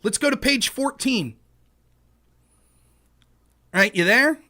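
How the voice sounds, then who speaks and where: A man with a very high, bright voice argues a point in a live debate. His pitch swings widely as he presses his case and reads long legal passages aloud in a deliberate, careful way. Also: expressive. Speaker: show host. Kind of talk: heated online debate.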